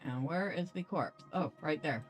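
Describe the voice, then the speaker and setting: A woman's voice: low-pitched, animated, moderate pace, rough recording. Woman gamer chatting to herself, playful, voice goes up and down a lot, game music behind. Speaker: gaming channel host. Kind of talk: casual let's play commentary.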